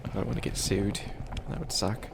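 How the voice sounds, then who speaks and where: A man playing a grandiose but bumbling evil witch in a comedic ASMR roleplay, breathy and often near a whisper. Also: on the low side, moderate pace, noisy background. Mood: fussy, sheepish. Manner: theatrical villain declarations breaking into rambling, self-doubting asides.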